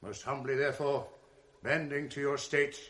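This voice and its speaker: A man's voice: medium pitch, normal speed, a bit bright, lightly acted. A man playing a proud, eloquent middle-aged general in a 1980s radio drama, delivering sweeping declamatory verse. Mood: resolute.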